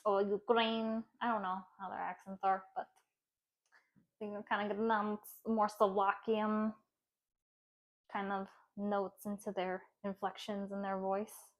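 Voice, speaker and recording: A woman talks through a tarot reading in a gentle, consoling way. She drifts into pauses and fillers as she talks. Her voice sits at an everyday middle pitch. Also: little pitch movement, poor audio. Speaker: tarot card reader. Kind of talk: casual tarot reading to camera.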